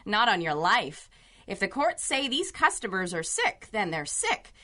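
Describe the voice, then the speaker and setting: An actress playing a skittish, wisecracking sanitarium receptionist in a 1930s-style radio drama. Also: female voice, medium pitch, big pitch swings, a little slow, sharp tone. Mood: uneasy.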